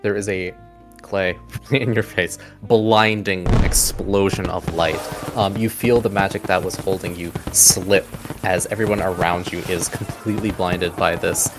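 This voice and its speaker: Low-voiced man running a tabletop game. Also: fairly even intonation, a little slow, over music. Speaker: game master. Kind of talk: improvised narration of a tabletop game.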